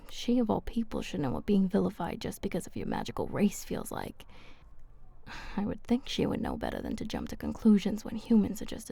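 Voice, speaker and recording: A woman voicing a flirty, protective female vampire in a breathy, husky, intimate ASMR roleplay. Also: mid-range voice, dark tone, no echo, full character voice. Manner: intimate, coaxing, casual slangy speech.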